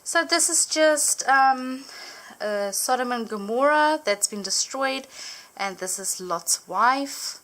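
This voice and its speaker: A woman's voice: sits high, animated, slow, rough recording. Chatty woman, Afrikaans native speaker. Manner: chatty, filler-heavy, gently enthusiastic. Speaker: Bible journaling vlogger. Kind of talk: casual show-and-tell narration. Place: indoors at a table, hands over an open book.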